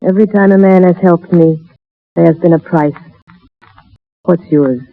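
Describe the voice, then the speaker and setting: A woman acting a proud, world-weary lady of mystery in a 1930s radio drama, speaking in a measured, formal way. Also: lowish voice, gravelly, phone-quality sound. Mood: weary, earnest. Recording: noisy, thin 1930s radio broadcast.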